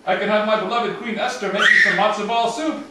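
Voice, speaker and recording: A man's voice: high voice, fairly even intonation, fairly quick, lightly acted. A man playing a gullible, jovial king in an amateur puppet show. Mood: jovial, careless. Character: gullible, jovial Persian king, casually handing out decrees. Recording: echoey room, distant mic, faint noise.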